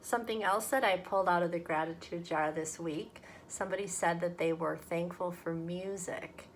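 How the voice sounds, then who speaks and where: A woman from a church talking to children and reading a storybook aloud in a deliberate, expressive way. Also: on the low side, slight background noise. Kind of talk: children's read-aloud and friendly talk to kids.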